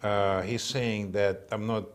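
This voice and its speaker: A man in his fifties from Russia with a Russian accent, a guest in an interview, talking haltingly with many fillers and restarts. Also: lowish voice, fairly even intonation. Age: 50s. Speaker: state assemblyman, guest. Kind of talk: casual interview conversation.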